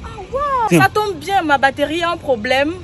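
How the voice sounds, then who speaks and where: A young woman playing a car owner in a comedy sketch, talking politely and briskly, outdoors on a street. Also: extremely high pitch, very animated, crowd noise. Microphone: phone camera at close range.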